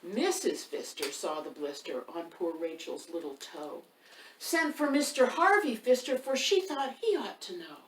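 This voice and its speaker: A woman's voice: mid-range voice, very animated, measured pace. A woman hosting a children's storytime, reading a picture book aloud and putting questions to her young listeners. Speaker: author and storytime host. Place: indoor room by a wood stove. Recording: roomy, distant mic, faint noise.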